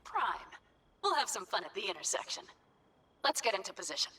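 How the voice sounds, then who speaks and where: An actress voicing a confident female mercenary in a video game, giving quick, commanding orders. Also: mid-range voice, very animated, sharp tone, slightly rough, full character voice. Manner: brisk, commanding planner. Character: tough female fixer, confident and cocky. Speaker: mercenary ally.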